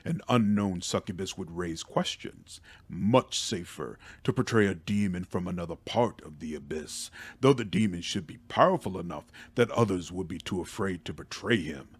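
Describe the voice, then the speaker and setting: A man voicing a composed, calculating otherworldly male mentor in a fantasy game, speaking formally and deliberately. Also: low-pitched, slightly rough. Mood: calm, calculating.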